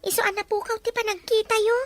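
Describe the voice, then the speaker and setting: A woman's voice: very high voice, flat delivery, fast. A woman acting a dutiful young daughter in an Ilocano radio drama, earnest, respectful and pleading. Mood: sad, worried. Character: dutiful young daughter, devoted to her ailing mother.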